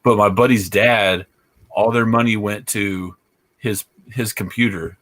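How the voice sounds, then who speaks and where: A man in his forties from rural Oklahoma, chatting casually on a podcast. His voice sits low, and he tells his stories in a relaxed, rambling way. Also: a little slow, no echo. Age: 40s. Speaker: podcast co-host. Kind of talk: casual chat.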